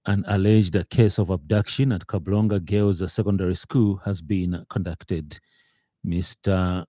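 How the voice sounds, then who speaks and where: Low, mellow Zambian male newsreader. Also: no echo. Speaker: radio news reader. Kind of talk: scripted news bulletin reading.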